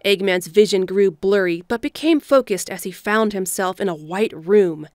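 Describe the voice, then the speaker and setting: A woman's voice: medium pitch, normal speed, darkish tone. A woman reading scripted story narration as the narrator of an audio drama. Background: background music.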